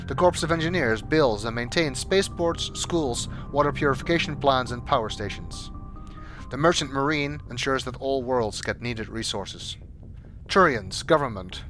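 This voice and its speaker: A man reads scripted, documentary-style narration in a measured, formal, expository manner. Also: mid-range voice. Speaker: amateur voice-over narrator. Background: background music.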